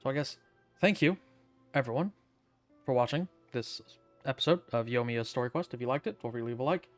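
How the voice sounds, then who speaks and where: A man's voice: mid-range voice, fairly quick, soft background music. A man giving casual gameplay commentary as a streamer, with a wry, offhand, sweary manner. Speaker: video game streamer.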